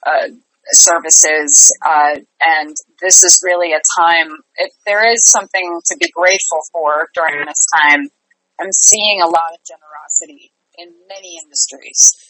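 Lower-pitched middle-aged woman, earnest and emphatic. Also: unhurried, sharp tone, no echo, rough recording. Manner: earnest, emphatic repetition of phrases. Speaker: interview guest, life and business coach. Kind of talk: conversational interview answers.

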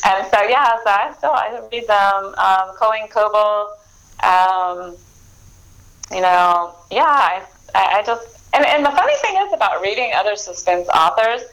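A woman's voice: mid-range voice, lively intonation, measured pace, a bit bright, low-quality recording. A woman in her forties, an author guest, talking in a rambling, easygoing way with many restarts. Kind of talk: casual interview chat.

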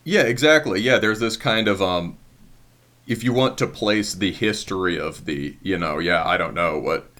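A man's voice: deep voice, normal speed, a bit bright. A man interviewing a guest in casual conversation, rambling with many hedges and fillers and laughing easily.

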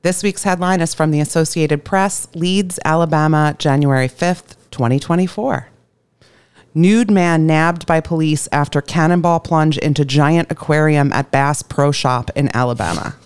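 A woman's voice: low-pitched, normal speed, a little mellow, smooth, no room sound. A woman co-hosting a podcast, laying out a case in a matter-of-fact way. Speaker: podcast co-host. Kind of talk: reading out a case in casual chat.

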